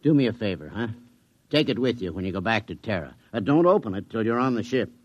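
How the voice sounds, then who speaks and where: A man's voice: lowish voice, lively intonation, fast talker, dry recording. Wistful old spaceman, vintage radio. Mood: weary, secretive. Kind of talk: 1950s science fiction radio acting. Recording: dull vintage radio recording.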